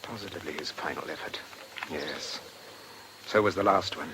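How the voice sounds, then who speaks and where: An actor playing a polite, precise, secretly troubled English gentleman in a radio drama, courteous and a little hesitant. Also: low voice, normal speed, background noise, natural voice. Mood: preoccupied, troubled.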